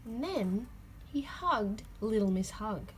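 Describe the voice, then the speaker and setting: A woman reading a children's picture book aloud, with expressive emphasis and drawn-out key words. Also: mid-range voice, background noise. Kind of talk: read-aloud storytelling for children.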